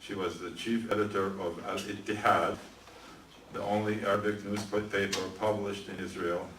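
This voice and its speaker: Low-voiced guy doing announcements, lots of uhs, mic far off in a noisy room. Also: little pitch movement, fairly bright tone, roomy sound. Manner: halting, many "uh" fillers. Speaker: event emcee. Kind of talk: event announcements and speaker introduction. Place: museum event room with audience.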